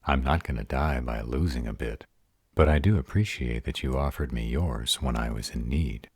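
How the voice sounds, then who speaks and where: A man playing an arrogant, protective vampire lover in a roleplay audio drama, husky and deliberate. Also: no room sound, clean studio sound. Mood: puzzled, serious. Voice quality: husky, very deep voice. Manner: haughty, deliberate delivery.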